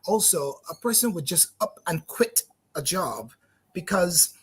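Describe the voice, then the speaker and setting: Husky Barbadian-accented founder, chatty, restarts phrases, studio guest. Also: male voice, sits high, sing-song, unhurried. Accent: light Barbadian. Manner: talkative, friendly, repeats words while thinking. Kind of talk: interview answers.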